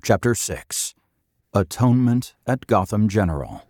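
A man narrating a scripted audio drama as a storyteller, in a deliberate, literary manner. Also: lowish voice, sing-song, darkish tone.

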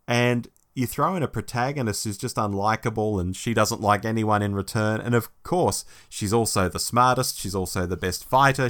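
Male co-host with a fairly low voice, informal fan chat, emphatic and opinionated. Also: fairly bright tone. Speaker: podcast co-host. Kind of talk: casual fan podcast chat.

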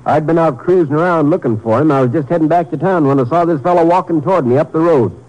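An actor playing a grudge-holding country sheriff. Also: male voice, fairly high pitch, quick pace, darkish tone, lightly acted. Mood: aggrieved. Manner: folksy, aggrieved, drawling. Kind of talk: 1950s radio drama acting. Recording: dull old radio recording.

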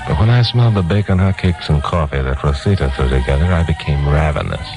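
A wry, assured American newsman hero, in an old radio crime drama. His voice is deep, with music in the recording. He sounds breezy and sure of himself, whether narrating or talking. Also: animated, fast. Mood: tense, matter-of-fact. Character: confident, teasing hard-boiled newsman hero and narrator.

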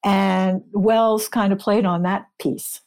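A woman with a medium-pitched voice talks as an expert guest in an interview. She explains things in measured, informative detail, like someone sharing research. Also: fairly even intonation, darkish tone.

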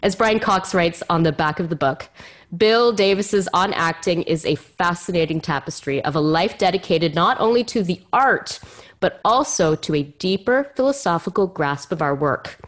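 Lady podcast host, really animated pitch, gushy emphatic delivery. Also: normal speed. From Canada. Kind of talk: scripted podcast introduction and interview.

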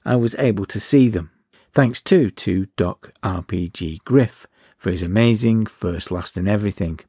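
A middle-aged man reading a wry, relaxed podcast outro as host. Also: on the low side, breathy edge, no room sound, studio quality.

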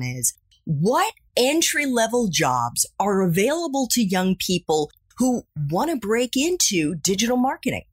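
A woman's voice: medium pitch, breathy edge, no room sound. A woman hosting a podcast interview who asks her guest questions in an upbeat, friendly way. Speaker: podcast host.

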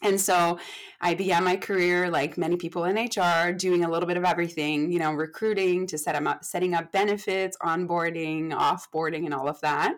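A woman's voice: fairly low pitch, fairly even intonation, fairly quick. Chatty woman, late thirties. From Canada. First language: French. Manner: chatty, self-deprecating, many fillers and restarts. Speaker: guest, HR expert. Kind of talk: casual podcast chat.

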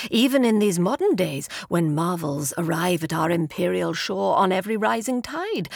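A woman's voice: medium pitch, creaky, no echo, clean studio sound. A woman with an English accent narrating a literary story in an arch, formal, theatrical storyteller's manner. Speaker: audiobook narrator.

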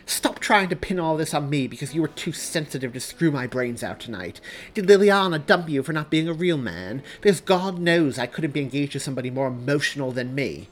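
A man's voice: fairly high pitch, huge pitch swings, unhurried, hardly any pauses, bright, forceful tone, a little hiss or hum, voice pushed up, heavily acted. A man voicing an angry, scornful pregnant young woman with indignant, biting delivery in a dramatized audiobook. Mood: scornful, furious.

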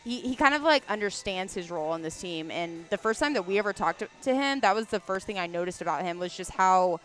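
A young woman with a mid-pitched voice chats casually about basketball. She is informal and slightly tentative as she works out her opinion, with faint music in the background. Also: animated, fast, fairly bright tone. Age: young adult. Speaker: basketball podcast panelist. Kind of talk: casual chat.

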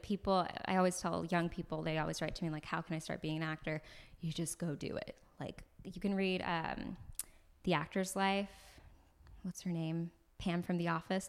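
A young American woman, an actress, chatting as a podcast guest in an animated way, laughing often and filling her talk with "like". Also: on the low side. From United States. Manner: animated, laughs often, talks in bursts with many "like"s. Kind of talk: casual podcast conversation. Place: floor of an apartment on moving day.

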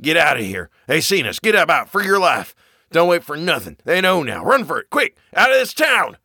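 A man's voice: mid-range voice, expressive, moderate pace, vocal fry, bright, forceful tone, dry recording, studio quality. A man voicing a wild, terrified old man who raves in a rustic old-timer dialect, in a horror story reading. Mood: panicked. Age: older. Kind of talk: audiobook character reading.

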